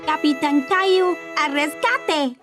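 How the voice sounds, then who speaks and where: A woman playing an excitable, imaginative four-year-old boy in a children's cartoon, with a childlike voice. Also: takes it slowly, over music. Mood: excited, heroic. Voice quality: high childlike voice. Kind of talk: dubbed children's cartoon acting.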